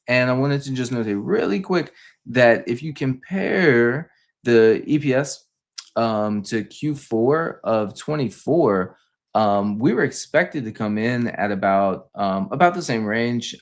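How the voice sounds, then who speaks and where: A man giving an unscripted financial debrief on a livestream, explaining things in a halting, repetitive way. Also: medium pitch, lively intonation. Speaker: stock commentator on a livestream.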